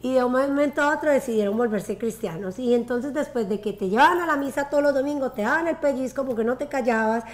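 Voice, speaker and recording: A Colombian woman in her forties tells her life story on a sofa, her voice sitting a little high. She talks in an animated way and gestures with her hands. She is on a lapel mic in a bright living room. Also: fast talker, hardly any pauses. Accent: Colombian Spanish. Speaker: guest. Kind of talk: casual interview storytelling.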